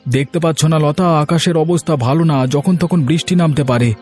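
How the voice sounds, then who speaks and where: A man voicing a hardworking, hurried village farmer in a cartoon, urgent and insistent. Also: a little high, darkish tone, soft background music, close to an everyday voice. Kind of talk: Bengali cartoon moral story acting.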